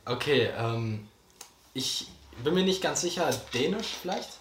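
A man's voice: on the high side, measured pace, a little hiss or hum. Easygoing teenage boy in a roomy space. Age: teens. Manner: relaxed, easygoing, youthful slang. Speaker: podcast co-host. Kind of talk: casual chat. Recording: roomy echo.

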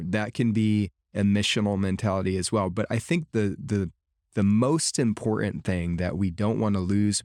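A man with a low voice hosts a podcast and builds his point step by step. He sounds thoughtful and conversational. The recording is dry and close. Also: no room sound. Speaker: podcast host.

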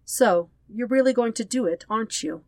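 A woman voicing a proud, sharp, teasing younger sister, a princess, in a fan fiction audiobook. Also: on the high side, moderate pace, dry recording, pitched above the performer's own voice, lightly acted. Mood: taunting, challenging.

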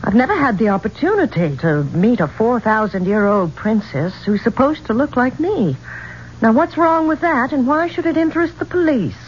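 An actress playing a gracious, curious, self-possessed singer in a 1970s radio drama, speaking in a polished, expressive way. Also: female voice, fairly high pitch, normal speed. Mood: challenging. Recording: dull, noisy 1970s radio recording.

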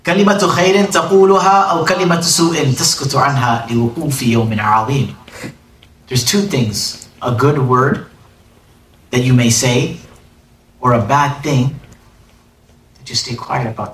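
A man's voice: medium pitch, fairly even intonation, fairly quick, a bit bright. A man giving a religious lesson, reciting Arabic quotations and explaining them in a casual, conversational way. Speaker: religious teacher. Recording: echoey room, distant mic, faint noise.

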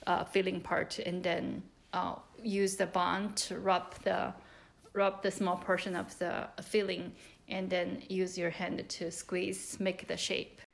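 Middle-aged lady, slow halting recipe talk, gravelly. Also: mid-range voice. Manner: halting, many fillers, nonnative grammar. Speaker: mother of a host, interviewed. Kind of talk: recorded interview explaining a recipe.

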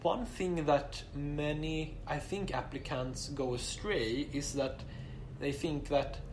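A man speaking English, which is not his first or second language, answering interview questions about his application in a measured way, with many fillers and restarts. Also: on the high side, noisy background. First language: not English; English is his third language.

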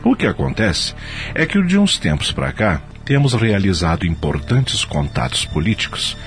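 A self-justifying company executive in a radio soap. His voice is very low but lively in its swings. He speaks formally, like an orator, over faint music. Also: normal speed. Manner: formal, oratorical, smooth-talking. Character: self-justifying male company executive running a board meeting. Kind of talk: radio soap opera acting.

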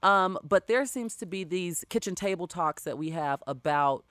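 A woman's voice: lowish voice, animated, moderate pace, a bit bright, no room sound. A woman hosting a casual podcast chat, speaking emphatically and with sass into a close studio mic. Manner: emphatic and sassy. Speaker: podcast host.

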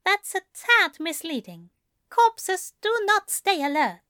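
A woman's voice: very high-pitched, big pitch swings, takes it slowly, pushed and bright, heavily acted. A woman voicing a fussy, prim male angel bookseller in an audio fan fiction drama, with wry, precise phrasing. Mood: wry, drowsy.

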